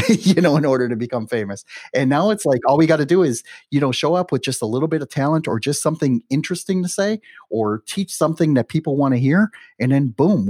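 A man in his forties hosts an interview podcast in a relaxed, chatty way. He keeps checking in with "right?" and "you know" as he makes his points. His mid-pitched voice is recorded dry and close. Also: no room sound. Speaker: podcast host. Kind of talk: conversational interview talk.

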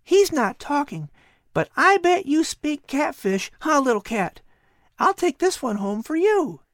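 A woman's voice: high voice, sing-song, unhurried, no room sound, clean studio sound, voice pushed up, exaggerated voice. A woman voicing a cheerful, playful seven-year-old boy in a storybook. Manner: eager and playful. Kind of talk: storybook reading.

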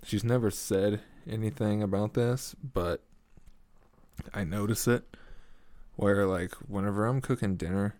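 A young man with a very low, deep voice rambles slowly through a casual solo podcast. He is laid-back, swears freely and laughs at himself. He sits close to a desk mic in a small foam-lined room that sounds dry and dead. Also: fairly even intonation, a little mellow, dry recording. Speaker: podcast host. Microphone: studio mic at a desk.

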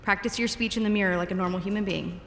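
An actress playing a snappy, quick-witted physicist in her thirties in an audio drama. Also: medium pitch, steady tone, a little hiss or hum, natural voice. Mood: sarcastic. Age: 30s. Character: snappy, sarcastic female physicist, expectant mother. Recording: echoey reverberant room, music behind.

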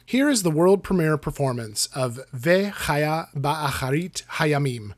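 A man's voice: on the high side, expressive, a little slow, no room sound, studio quality. A man hosting a podcast, asking a guest conversational follow-up questions. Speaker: podcast host. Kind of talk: podcast interview.

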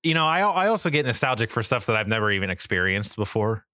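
Male podcast host, casual and informal, bright forceful delivery, dry close sound. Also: on the low side, big pitch swings, fast, dry recording. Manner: informal, frequently swearing.

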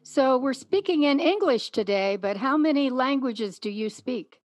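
A middle-aged woman hosting an interview, speaking in a clear, measured way. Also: sits high, lively intonation, no room sound. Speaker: podcast host and interviewer.

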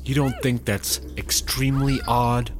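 An actor playing a wary, sensible startup worker, doubtful and plain-spoken, in a sci-fi comedy audio drama. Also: male voice, medium pitch, flat delivery, unhurried, lightly acted. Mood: worried, doubtful.

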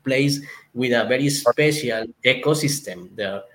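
A man's voice: mid-range voice, a little slow, a bit bright, low-quality recording. A man from Colombia with a Colombian Spanish accent, answering as a guest in an interview, often stopping and restarting as he searches for words. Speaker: guest, conservation project member.

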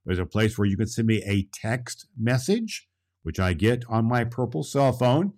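A man's voice: fairly low pitch, a little mellow. Man playing jolly Santa Claus, swooping intonation. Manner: theatrical, emphatic, addresses children directly. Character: Santa Claus, jolly old storyteller for children. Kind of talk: children's storytelling and friendly show hosting.

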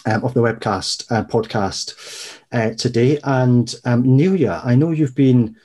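A man's voice: mid-range voice, unhurried, dark tone. A man hosting a podcast, leading the talk in a hesitant, casual way. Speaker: podcast host. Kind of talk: casual chat.

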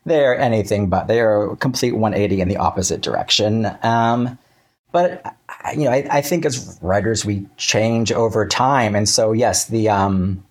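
A man being interviewed as a writer, chatting casually in a wry, halting way with self-interruptions and acted-out asides. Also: fairly low pitch.

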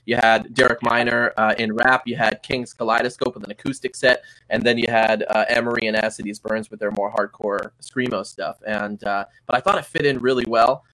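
Host brief: man interviewing a musician, unhurried and hesitant, steady mid voice. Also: medium pitch, poor audio. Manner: hesitant, many "um" and "uh" pauses. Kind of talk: conversational interview.

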